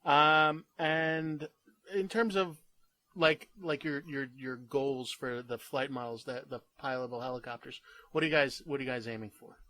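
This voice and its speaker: A man at a middling pitch hosts a gaming interview with upbeat, eager reactions. His voice sits close and dry, with no room sound around it. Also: steady tone, moderate pace, bright, forceful tone, no echo. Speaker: interviewer and channel host.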